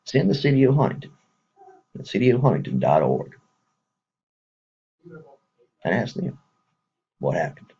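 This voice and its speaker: A man with a thick Texas drawl talks to his viewers in a loose, folksy ramble, often breaking into a chuckle. His voice sits very low and gravelly, with a strongly husky, rough edge. The recording is muffled and lo-fi. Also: big pitch swings, a little slow, long pauses, mellow. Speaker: man speaking to supporters. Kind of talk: casual appeal to viewers.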